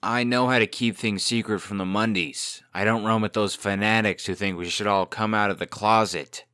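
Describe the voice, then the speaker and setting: A man's voice: fairly low pitch, fairly even intonation, moderate pace, sharp tone, no room sound, studio quality. A man playing a cocky, glib and defensive young fairy-tale trickster in a comic dub drama. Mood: cocky, indignant.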